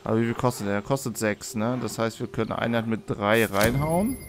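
A man with a slightly hoarse voice giving casual game commentary as a let's play host, thinking aloud and repeating words. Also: on the low side, fairly quick, a little hiss or hum. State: hoarse from being under the weather. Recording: music behind the voice. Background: game music.

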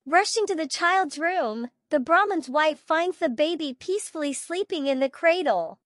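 A woman's voice: sits high, animated, normal speed, no room sound, studio quality. A woman storyteller reading a scripted children's fable as narration.

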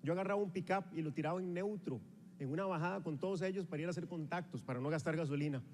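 A Costa Rican man in his forties with a Costa Rican accent, giving an energetic motivational speech on stage with a handheld microphone. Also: a little high, very fast. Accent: Costa Rican Spanish. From Costa Rica. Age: 40s. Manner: energetic, rallying the audience, walks the stage while gesturing. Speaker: motivational speaker at a sales rally. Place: large convention hall on a stage with a live audience. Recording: reverberant hall sound.

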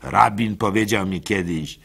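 A man performing a first-person literary monologue for radio as narrator, in an expressive, folksy, conversational manner. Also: low-pitched, a little slow, creaky, husky. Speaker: narrator and storyteller.

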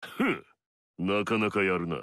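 A man's voice: low-pitched, animated, a little slow, a bit bright, no echo, clean studio sound. An actor playing an arrogant, fierce male warlord in a video game, swaggering and barking battle cries.

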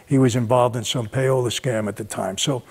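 A man's voice: medium pitch, fairly even intonation, moderate pace, creaky, slightly rough. A middle-aged man with a mid-range voice recalls past events. He talks informally to camera in a furnished room.

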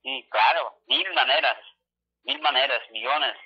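A man's voice: mid-range voice, measured pace, slightly rough. A man with a northern Mexican accent in a slangy, casual phone conversation, hedging and evasive as he talks. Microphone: telephone call. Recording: dull lo-fi telephone line.

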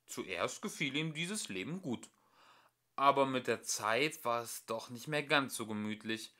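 A man's voice: mid-range voice, lively intonation, a little slow, bright, forceful tone. A man narrating a children's story expressively, as an amateur audiobook reader.

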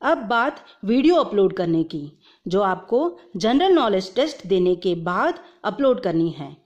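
A woman's voice: medium pitch, sing-song, a little slow, darkish tone, highs cut off. A woman giving an explanatory tutorial voice-over step by step, mixing English terms into her speech. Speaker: video presenter explaining instructions.

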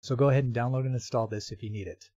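Mellow-voiced man giving a dry software tutorial. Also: mid-range voice, fairly even intonation, rapid-fire, no room sound, highs cut off. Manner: informal, explanatory. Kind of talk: step-by-step screen tutorial narration.

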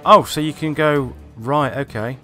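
A man's voice: a little high, measured pace. A man giving casual video game commentary and reading text aloud. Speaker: game reviewer. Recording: game music behind. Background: game music.

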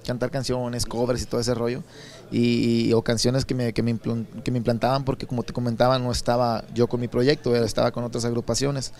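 A Mexican man in his thirties from Sinaloa, answering interview questions at an indoor expo booth into a handheld mic, relaxed and rambling with many repeated words. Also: medium pitch, gravelly. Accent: Sinaloan Mexican Spanish. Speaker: songwriter and singer.

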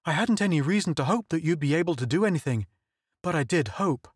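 A man's voice: a little high, animated, fast talker, breathy edge, no echo, studio quality. A man voicing a frightened, devoted young woman who pleads through tears in an audiobook. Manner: pleading, tearful. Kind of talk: audiobook character voice.

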